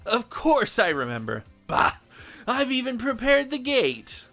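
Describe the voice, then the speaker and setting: Man acting teasing carefree shopkeeper, sharp bright tone, animated high pitch, audio drama. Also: unhurried, gravelly, faint music behind, full character voice. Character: breezy, teasing male shopkeeper, unconcerned and sly.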